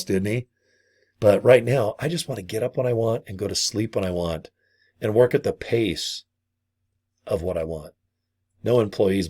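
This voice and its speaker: A middle-aged man with a hoarse, gravelly voice from a vocal cord injury, casually pitching his online business and often calling his viewers "guys". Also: a little mellow. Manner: chatty, repeats "guys" and "okay". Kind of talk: casual sales pitch to camera.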